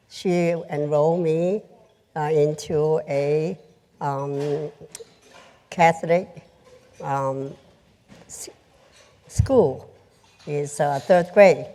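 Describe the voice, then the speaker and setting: An older woman from Hong Kong, a businesswoman telling her life story on a panel in a slow, halting way with many pauses. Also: low-pitched, mellow. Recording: long hall reverb.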